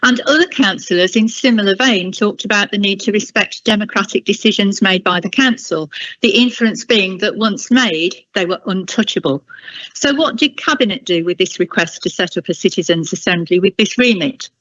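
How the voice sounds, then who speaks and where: A woman delivering a formal prepared address at a council meeting in a deliberate, critical and emphatic manner. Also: mid-range voice, a bit bright, no room sound, dull audio.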